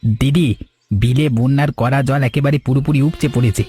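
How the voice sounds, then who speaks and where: A man plays a young village lad in a cartoon tale. He talks fast and urgently in a mellow, mid-range voice. Light music sits behind the words. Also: medium pitch, fairly even intonation, natural voice. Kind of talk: Bengali cartoon story dialogue.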